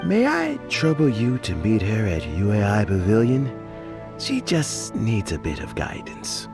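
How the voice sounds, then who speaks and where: A man's voice: on the low side, huge pitch swings, over music, heavily acted. A man playing a hesitant, doting middle-aged father and official in a video game. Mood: sheepish. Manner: hesitant, halting.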